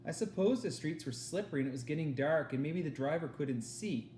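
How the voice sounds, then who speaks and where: A man's voice: fairly high pitch, animated, brisk, a little mellow, roomy sound, slight background noise, voice pushed up, close to an everyday voice. A man voicing an earnest, reassuring young girl confiding in her best friend in a storybook reading. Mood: somber.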